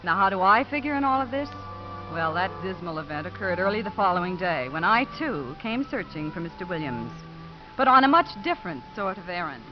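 Prim, expressive official woman. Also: lowish voice, brisk, music in the background. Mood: composed, narrating. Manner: precise, crisp, official. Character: prim, formal female court child-welfare investigator. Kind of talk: 1950s radio drama acting and scripted narration. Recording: muffled old 1950s radio recording.

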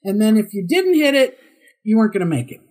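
A middle-aged man with a high tenor voice, a guest voice actor telling chatty anecdotes in an interview, laughing often and acting out the quotes. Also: very animated, fast, a little mellow. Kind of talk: casual interview storytelling.

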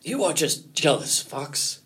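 A man's voice: on the high side, lively intonation, slow, a bit bright, voice pushed up, full character voice. A man voicing a proud, stubborn male rabbit chief in an animal folktale, insistent and demanding. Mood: boastful. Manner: defiant, insistent, boastful.

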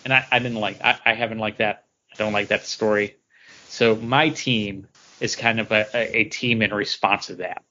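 A man's voice: lowish voice, fairly even intonation, normal speed, pushed and bright. Man chatting on dull, lo-fi audio. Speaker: podcast co-host. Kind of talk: casual chat about comic books.

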